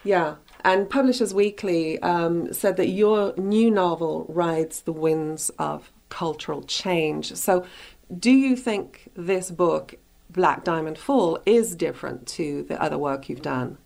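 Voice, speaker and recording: A woman's voice: on the low side, slow pace, vocal fry, a little mellow. A woman hosting a conversational author interview, asking questions and commenting. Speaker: radio show host.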